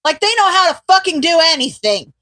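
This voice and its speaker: A woman voicing an excitable, foul-mouthed young man who talks emphatically, in a fan fiction audio reading. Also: very high-pitched, fairly quick, dry recording, pitched above the performer's own voice, exaggerated voice. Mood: indignant. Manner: indignant, emphatic, cursing, nervous stammering.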